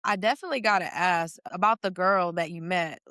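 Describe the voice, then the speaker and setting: A woman's voice: on the low side, a little fast, bright, forceful tone, no room sound, clean studio sound. Friendly woman hosting a podcast interview. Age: 30s. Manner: friendly, affirming, lots of "okay" and "mm-hmm". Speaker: podcast host. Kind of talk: casual interview.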